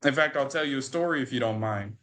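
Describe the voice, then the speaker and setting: An American man in his twenties, a podcast guest on a video call, telling a story in an animated way that repeats and restarts phrases. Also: medium pitch, fast. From United States. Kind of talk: storytelling in a remote interview.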